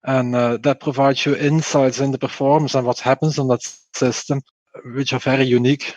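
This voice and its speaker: A man's voice: mid-range voice, flat delivery, fairly quick, no room sound. A man with a Flemish Belgian accent explains his field as an interview guest. He leans on key phrases by repeating them. The recording is dry and slightly dull. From Belgium, Flanders. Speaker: podcast guest, performance testing engineer.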